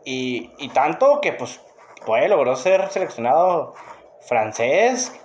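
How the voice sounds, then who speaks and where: A man's voice: on the high side, big pitch swings, slow pace, highs cut off, a crowd in the background. A man with a Mexican accent chatting casually about sports as a podcast co-host, emphatic and opinionated, often repeating himself. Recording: echoey room, noticeable background noise.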